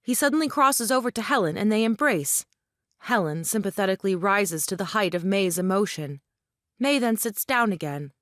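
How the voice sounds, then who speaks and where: A woman's voice: mid-range voice, expressive, normal speed, a bit bright, no echo, clean studio sound. A woman reading stage directions as scripted narration for an audio play.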